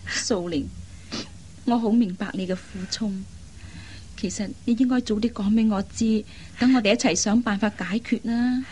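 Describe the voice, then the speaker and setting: A woman playing an anxious, caring elder sister in an expressive, earnest radio drama style. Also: mid-range voice, normal speed, lightly acted. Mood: gentle, earnest. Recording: faint background noise.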